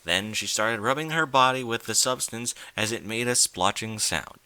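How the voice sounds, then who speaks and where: A man's voice: lowish voice, moderate pace, pushed and bright, smooth, no room sound. A man narrating a scripted story in a dramatic, theatrical and emphatic manner. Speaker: narrator, reader of fan fiction.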